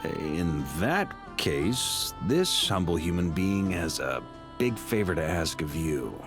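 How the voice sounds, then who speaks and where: Deep-voiced roguish knight. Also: male voice, takes it slowly, full character voice. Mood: coaxing. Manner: wry, casual, theatrically expressive. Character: roguish charming grand-master knight, self-deprecating under pressure. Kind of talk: video game cutscene dialogue. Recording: music behind the voice.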